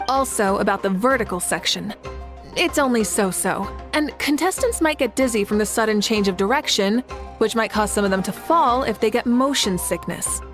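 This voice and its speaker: A woman's voice: medium pitch, moderate pace, music in the background, natural voice. A woman voicing a confident, fiery and good-humoured warrior champion in a video game, assured and playful. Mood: considered. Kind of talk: video game character acting.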